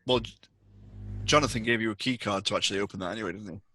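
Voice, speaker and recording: A man's voice: on the low side, very fast, pushed and bright. A man refereeing a tabletop roleplaying game in casual conversation. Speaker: game master.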